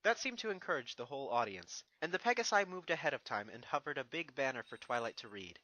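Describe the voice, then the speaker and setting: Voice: mid-range voice, big pitch swings, quick pace, sharp tone, no echo, rough recording. A narrator reading a fan fiction story expressively from a script, with music behind. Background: background music.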